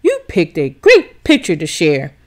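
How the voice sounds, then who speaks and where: A woman's voice: low voice, wildly swooping pitch, measured pace, voice pushed down, exaggerated voice. A woman plays an easygoing storybook dad. He is kindly and casual, with a soothing way of talking. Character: kindly, easygoing father of a young girl. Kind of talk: children's storybook read-aloud.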